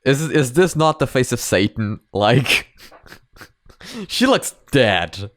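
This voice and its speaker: A man's voice: mid-range voice, sing-song, slow, a bit bright, no room sound, studio quality. A man hosting a reaction video, giving casual, conversational commentary.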